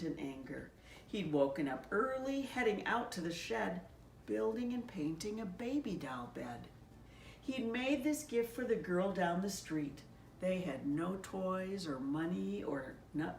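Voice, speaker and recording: A woman reads a storybook aloud at a very slow, measured pace, with gentle expression in her delivery. Her voice sits slightly low, and she is recorded at a distance from the mic in a very echoey room. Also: a little hiss or hum. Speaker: pastor reading a children's story aloud.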